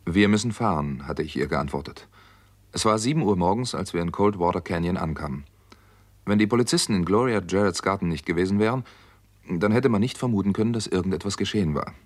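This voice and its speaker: A low-pitched narrator tells a detective story in the first person. He is sober, observant and measured, in the matter-of-fact style of a 1960s radio crime play. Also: expressive.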